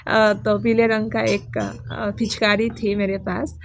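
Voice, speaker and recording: A woman's voice: mid-range voice, slow pace, creaky, a little hiss or hum. A woman vlogger with a sore throat, chatting casually to camera, hesitating often with fillers. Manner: relaxed, hesitant, many fillers. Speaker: vlogger and teacher.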